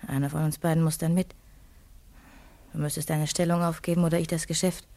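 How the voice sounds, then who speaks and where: Radio play: scheming, desperate wife, secretive, mellow-dark and creaky. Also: female voice, sits low, level tone, brisk. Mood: bleak, desperate. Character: desperate, scheming wife Therese hiding a crime. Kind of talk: German radio play acting.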